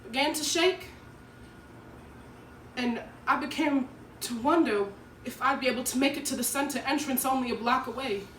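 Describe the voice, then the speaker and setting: A woman's voice: sits high, fairly bright tone. A woman playing an earnest, nervous teenage schoolgirl who tells her story in an emotive dramatic monologue. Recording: echoey room, distant mic, faint noise.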